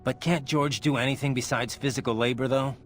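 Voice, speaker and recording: An actor playing a grim, brooding male cyborg mercenary in a video game, with a gravelly voice and a terse, dry, sardonic way of talking. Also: medium pitch, fairly even intonation, fairly quick, natural voice. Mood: sober, dry.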